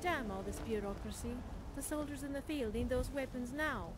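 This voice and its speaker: A woman's voice: high-pitched, normal speed, background noise, lightly acted. A woman playing a tough, blunt, no-nonsense blacksmith in a fantasy video game. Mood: annoyed. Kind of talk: video game character dialogue.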